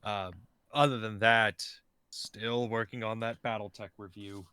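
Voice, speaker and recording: A man's voice: lowish voice, level tone, a little slow, sharp tone, clean tone, dry recording. A man, a podcast guest talking in a hesitant, rambling way. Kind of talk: casual podcast chat.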